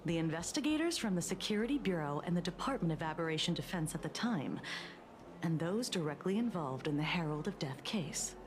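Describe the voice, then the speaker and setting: Woman playing a sardonic, low-voiced female detective. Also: expressive, brisk, noisy background, natural voice. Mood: skeptical, measured. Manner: dry, teasing, skeptical. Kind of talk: dramatic video game dialogue.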